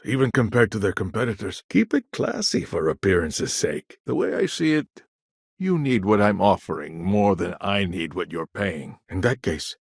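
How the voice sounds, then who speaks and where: An actor playing a shrewd, guarded businessman in a video game, with a measured, wry, businesslike way of talking. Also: on the low side, vocal fry, slightly rough, dry recording, clean studio sound, full character voice. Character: shrewd, guarded corporate businessman, smooth-talking and self-assured. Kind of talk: scripted game dialogue.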